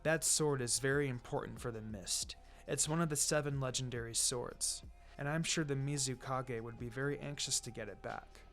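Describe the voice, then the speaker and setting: A man's voice: medium pitch, fairly even intonation, moderate pace, fairly bright tone, faint music behind, pitched below the performer's own voice, natural voice. Man as a courteous, formal masked ninja. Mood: calm, formal. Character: polite, formal masked young ninja hunter.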